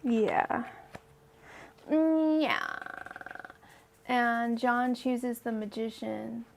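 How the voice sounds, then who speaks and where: Chatty young woman with a gravelly edge. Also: on the high side, drawn-out words, a little hiss or hum. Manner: chatty, filler-heavy, addresses viewers by name. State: voice feels weak today. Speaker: tarot reader hosting a livestream. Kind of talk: casual livestream chat.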